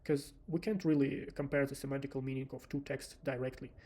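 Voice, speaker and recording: A man with a Russian accent talks through a programming demo from a home desk. His voice sits at an ordinary middle pitch, and he explains steps patiently, stopping often on filler sounds as he thinks aloud. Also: flat delivery, mellow. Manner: unhurried, explanatory, frequent "uh" fillers. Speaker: software developer presenting a demo. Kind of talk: screen-recorded coding walkthrough.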